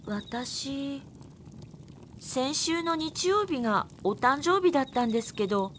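Woman playing a very high, girlish, earnest young woman. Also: animated, takes it slowly, fairly bright tone, a little hiss or hum, exaggerated voice. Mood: sad, wistful. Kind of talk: audio drama acting.

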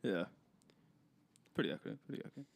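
A man's voice: fairly low pitch, big pitch swings, fast, creaky. A young man co-hosting a podcast, chatting playfully with friendly slang. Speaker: podcast co-host. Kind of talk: casual chat.

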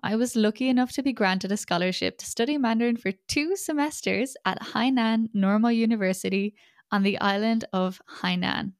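A woman's voice: on the high side, moderate pace, clean tone, no room sound, studio quality. A young Irish woman with an Irish accent hosting a podcast, talking in a chatty, self-deprecating and wry way. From Ireland. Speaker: podcast host. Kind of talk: scripted podcast monologue.

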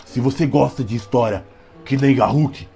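A man playing a slow-witted brutish fantasy creature who talks simply and calls himself by name in an audio drama promo. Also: mid-range voice, gravelly, mellow, natural voice. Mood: plain, simple. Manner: simple broken speech, refers to self by name. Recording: music behind the voice.

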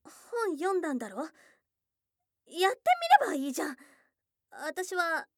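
A woman's voice: high voice, expressive, measured pace, no room sound, studio quality. A woman voicing a shy, blunt young goblin girl in love, in an intimate ASMR voice drama with tomboyish, affectionate speech. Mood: flustered. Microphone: close dry studio mic.

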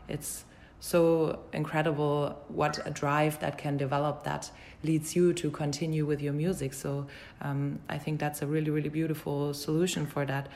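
A woman interviewer with a low voice and very even intonation. She speaks conversationally and thoughtfully, with a measured, supportive manner as she responds to her guest. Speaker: interviewer, host.

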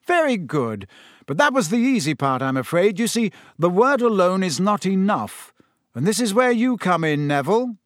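A kindly, wry teacher, as a male narrator puts him on, speaks in a gentle, measured and amused way. His voice sits fairly high and swings widely in pitch, and the sound is close and dry. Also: sing-song, no room sound. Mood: patient, instructive.

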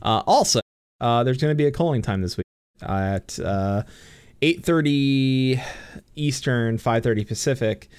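A man's voice: medium pitch, a little slow, a little mellow, clear, resonant tone, no echo, studio quality. A young man co-hosting a casual gaming podcast over a voice call. He giggles a lot, swears freely and uses many fillers. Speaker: podcast co-host. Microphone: computer mic on voice call.

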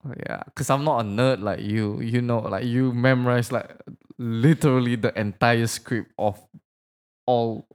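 A man's voice: mid-range voice, steady tone, unhurried. A man who grew up in Indonesia, whose first language is Indonesian, chatting casually with his fiancée, teasing her and laughing often. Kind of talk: casual couple chat.

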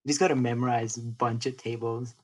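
A young man from North India, chatting casually as a podcast guest in a relaxed, rambling way full of fillers and restarts. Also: mid-range voice, a little fast, husky. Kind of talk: casual interview chat.

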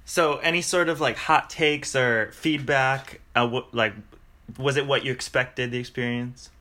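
A man hosting a podcast, asking relaxed, curious questions with casual fillers. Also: medium pitch, measured pace. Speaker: podcast host. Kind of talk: casual interview.

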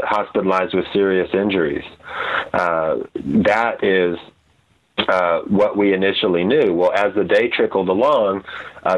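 A man giving an off-the-cuff news account as an interview guest, careful and halting, often repeating words. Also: low-pitched. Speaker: guest journalist, news editor. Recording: dull, lo-fi remote-guest audio.

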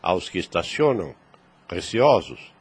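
Husky low-voiced wise fatherly priest. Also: very animated, a little slow, exaggerated voice. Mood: solemn. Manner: solemn, preachy, formal archaic phrasing. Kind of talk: radio drama acting.

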